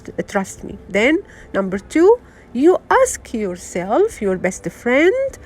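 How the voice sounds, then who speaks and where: A middle-aged woman from Syria with a Syrian Arabic accent, giving an unscripted motivational talk, earnest and halting, full of fillers and restarts. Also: fairly high pitch, huge pitch swings, a little mellow. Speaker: wellness vlogger.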